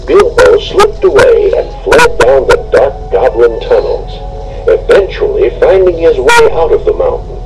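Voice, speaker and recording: Very animated man narrating, noisy recording. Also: mid-range voice, a little slow. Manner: expressive, dramatic storytelling. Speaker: storybook narrator. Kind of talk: scripted storybook read-along narration. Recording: very noisy home webcam recording.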